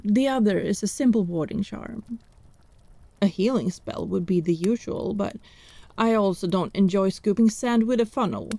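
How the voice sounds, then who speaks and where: Wry, mellow-voiced witch played by a woman. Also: medium pitch, dry recording. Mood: wry, teasing. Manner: wry, sardonic, wordy. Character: wry, irreverent female witch, teasing healer and spellcaster. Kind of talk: fantasy audio roleplay acting.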